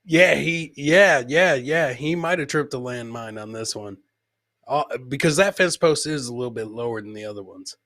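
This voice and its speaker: A male podcast host and comedian with a medium-pitched voice, recorded very dry. He talks in a loose, informal live-show style, reacting to clips and often saying a line twice. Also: normal speed, fairly bright tone, dry recording. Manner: informal, repeats his own lines. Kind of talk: casual live reaction chat to video clips.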